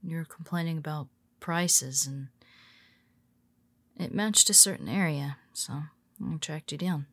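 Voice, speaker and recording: Tired superhero woman, voiced by a woman, wry and teasing, unhurried delivery, dry close sound. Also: deep voice, no room sound, full character voice. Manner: teasing, soft-spoken, slips into whispers. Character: weary teasing female superhero, nemesis. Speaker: audio roleplay performer. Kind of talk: intimate audio roleplay.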